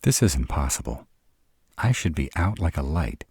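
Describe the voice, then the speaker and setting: A man's voice: a bass voice, sing-song, moderate pace, a little mellow, slightly rough, full character voice. A man playing a sarcastic, irritable vampire in an intimate roleplay audio drama, grumbling and dramatic in his delivery. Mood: indignant, alarmed. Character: sarcastic irritable male vampire, stranded in a human's home.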